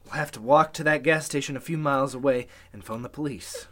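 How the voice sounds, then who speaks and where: A man's voice: medium pitch, brisk, a bit bright, close to an everyday voice. An actor playing a scheming, injured man who puts on protective concern, urgent and persuasive, in an old-time radio play. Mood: coaxing. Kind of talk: Golden Age style radio play acting.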